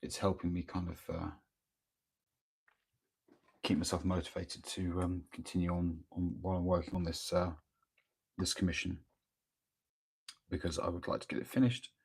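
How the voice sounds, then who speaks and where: A man talks in a very low, gravelly voice that has gone croaky from a cold. He rambles hesitantly and casually, full of pauses and restarts, as he chats to viewers at home. His tone stays husky throughout. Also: little pitch movement, a little mellow. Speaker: miniature painter streaming live. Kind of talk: casual live-stream chat while working. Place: home workspace, early morning. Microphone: microphone near the speaker.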